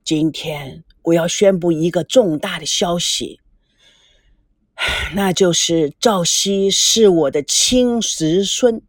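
A woman voicing a gentle old grandfather who speaks slowly, softly and haltingly in an audiobook. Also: fairly low pitch, dry recording, clean studio sound, pitched below the performer's own voice. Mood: calm, solemn. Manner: slow, soft, hesitant. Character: gentle old grandfather revealing a family secret. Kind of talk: audiobook character voice.

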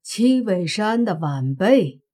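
A woman voicing a cold, stern older male fox spirit who speaks slowly and menacingly. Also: medium pitch, sing-song, mellow, faint music behind. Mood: cold threatening.

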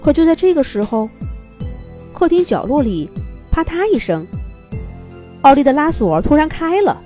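A woman's voice: sits high, sing-song, moderate pace, mellow, soft background music. A woman narrating a children's fairy tale expressively from a script, as a storyteller.

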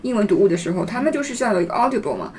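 A woman's voice: medium pitch, very animated, very fast, a little mellow, slight background noise. A woman chatting casually in a group podcast as a host, explaining things and putting questions to the others.

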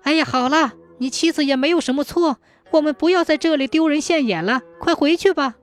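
An elderly parent from a folk tale, nagging and indignant, put on by a female narrator. The voice rises high as it complains, scolds and wails, with music underneath. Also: moderate pace. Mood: flustered, indignant.

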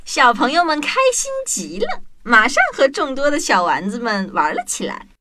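A woman narrating a scripted children's story in an expressive way, as the presenter of an audio drama. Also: a little high, moderate pace, background noise. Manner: expressive, addressing young children.

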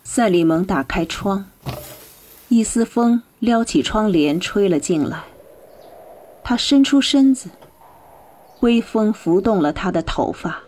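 A woman narrating a radio drama in slow, measured storytelling. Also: medium pitch, lively intonation, a little mellow. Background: faint music.

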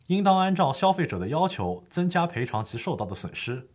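A man's voice: a little high, big pitch swings, a little fast. A man playing a patient lawyer who explains the law formally and methodically in a scripted educational audio drama. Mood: explaining, firm.

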